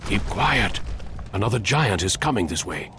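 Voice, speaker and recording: An actor playing a gruff adventurer in a video game, speaking with emphatic force. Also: male voice, lowish voice, sing-song, moderate pace, background noise. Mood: tense. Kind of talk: video game cutscene acting.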